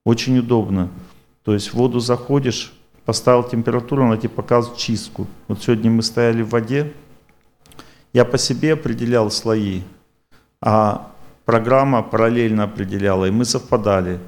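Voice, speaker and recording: A man of middle pitch gives a lecture and fields questions from the audience. He rambles and circles back over the same points, but he never sounds unsure of himself. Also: fairly even intonation, moderate pace. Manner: rambling, repetitive, self-assured.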